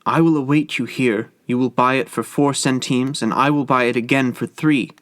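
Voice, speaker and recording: A man's voice: medium pitch, fairly even intonation, moderate pace, no room sound, clean studio sound, close to an everyday voice. A man voicing a pleading young wife. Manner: pleading, breathless and urgent. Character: desperate young Hawaiian wife, woman.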